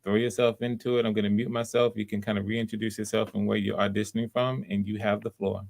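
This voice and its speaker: A man with a slightly low voice hosts an online audition stream. He talks casually and conversationally, encouraging whoever is calling in. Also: flat delivery, fast talker, gravelly, a little mellow. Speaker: host of live online voice auditions. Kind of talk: casual live-stream hosting chat. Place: remote live video stream.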